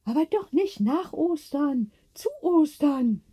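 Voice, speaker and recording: A woman voicing a brisk, matter-of-fact old lady neighbour in a storybook. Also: sits high, expressive, dark tone, voice pushed up. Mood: correcting.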